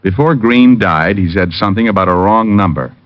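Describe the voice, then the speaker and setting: A man playing a quick-witted, wry private detective in an old-time radio drama, moving between dialogue and hardboiled narration. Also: on the low side, dry recording, close to an everyday voice. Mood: intent, reasoning. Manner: wry, sardonic. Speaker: narrator and lead actor. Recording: dull vintage radio recording with music.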